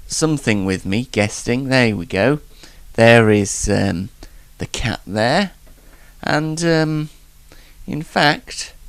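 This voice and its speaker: Male host, mid pitch but very animated, congested, rambling and self-mocking, late night chat, dry sound. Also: clean tone, no echo. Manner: self-deprecating, jokey, rambling. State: congested from hay fever. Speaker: talk show host. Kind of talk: casual solo late night talk show chat.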